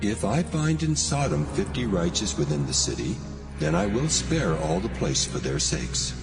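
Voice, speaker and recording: God for scripture drama: solemn, declamatory, creaky, with very varied intonation. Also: male voice, on the low side, huge pitch swings, normal speed, heavily acted. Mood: calm, firm. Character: God, solemn commanding male divine voice. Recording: long cavernous reverb over music.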